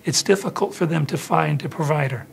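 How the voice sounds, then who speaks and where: Gravelly middle-aged American man. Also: on the high side, a little fast. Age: 40s. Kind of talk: interview explanation for a hospital newsreel. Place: indoor office or meeting room.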